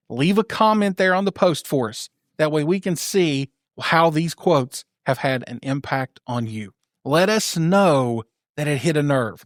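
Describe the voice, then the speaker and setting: A man hosting a podcast, steady and affirming, wrapping up and steering the show. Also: fairly high pitch, dry recording, clean studio sound. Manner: steady, affirming host who keeps the show moving. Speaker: podcast host. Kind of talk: casual podcast chat with a scripted outro.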